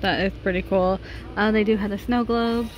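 A woman's voice: medium pitch, steady tone, normal speed. A woman vlogger giving a chatty shopping walkthrough, laughing often, filmed on a handheld phone in a large store. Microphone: handheld phone camera. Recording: noisy store background.

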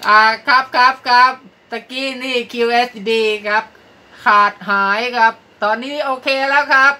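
A man's voice: very high-pitched, bright, forceful tone. A middle-aged man with a Thai accent making ham radio contact calls, spelling out callsigns in phonetic alphabet. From Thailand. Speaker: amateur radio operator. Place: small cluttered indoor radio room. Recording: very noisy shack recording, radio hiss. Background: radio static and noise.

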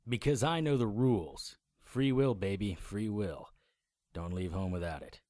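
A man playing a wry, defiant supernatural hero in a radio drama, with a husky voice and dry, noir-like storytelling. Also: fairly low pitch, a little slow, a little mellow, no echo, close to an everyday voice. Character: wry, defiant male demon hunter and hero. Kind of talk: radio drama acting and narration.

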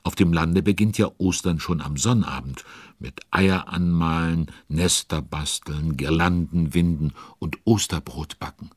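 A man narrating a children's audio drama as a chatty, involved storyteller with conspiratorial asides and a husky voice. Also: sits low, a little slow, a little mellow, no echo, studio quality. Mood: chatty, musing.

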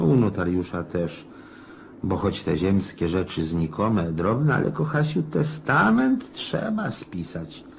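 An actor playing a talkative, grumbling older country landowner in a radio drama, rambling in a folksy, world-weary yet jovial way. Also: male voice, lowish voice, gravelly, darkish tone, dull audio, a little hiss or hum, exaggerated voice. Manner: rambling, folksy, chuckling, exclamatory.

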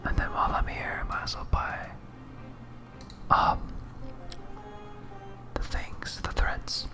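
An ASMR game host speaks in a slow whisper, close to the mic. The delivery is hesitant and absent-minded, as if thinking aloud while playing. Music plays clearly behind the voice. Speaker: gaming video host.

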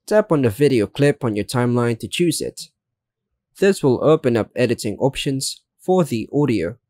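A man giving scripted how-to narration as a tutorial host, in a measured, step-by-step way. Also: medium pitch, lively intonation, a little mellow, dry recording.

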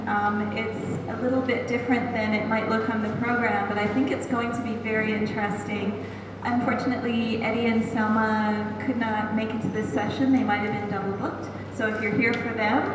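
A middle-aged woman with a Canadian accent speaks as a panel moderator, with a measured, friendly manner. Her voice sits a little high. She is caught from afar in a hall with a long, ringing echo. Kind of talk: festival panel talk. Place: large echoing festival hall. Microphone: handheld mic, far camera. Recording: echoey hall, distant, background noise.

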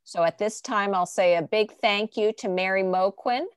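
A woman's voice: medium pitch, moderate pace, clean tone, dry recording, clean studio sound. A woman hosting a virtual art reception over a video call, moderating in a friendly, organized way. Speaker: host.

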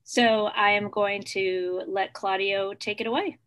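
A woman introducing a guest lecturer at a hospital grand rounds session, halting with frequent ums. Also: medium pitch, fairly even intonation, a bit bright. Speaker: host introducing the speaker.

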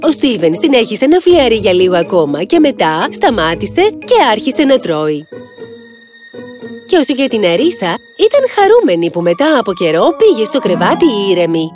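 A woman narrating a scripted children's fairy tale as an expressive storyteller. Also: medium pitch, music in the background.

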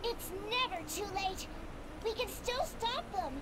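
A woman voicing a perky, plucky little female gnome in a video game, with a squeaky, childlike voice and bubbly, emphatic delivery. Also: measured pace. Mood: urgent, determined. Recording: noisy game audio with ambience.

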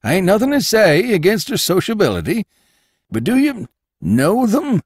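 A prying, gossipy old landlord, put on by a man reading a story, talking in a rustic drawl. His voice sits high and leaps around in pitch. The sound is close and dry. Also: big pitch swings, normal speed, slightly rough, dry recording, exaggerated voice. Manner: folksy rustic dialect, prying. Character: suspicious old male boarding-house keeper, nosy, gossipy.

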